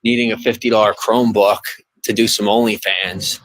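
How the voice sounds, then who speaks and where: A man from the USA livestreaming from his phone under a highway bridge, reading out viewer joins and gifts in a running list. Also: level tone, brisk, fairly bright tone, no echo, rough recording. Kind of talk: live stream chat reading.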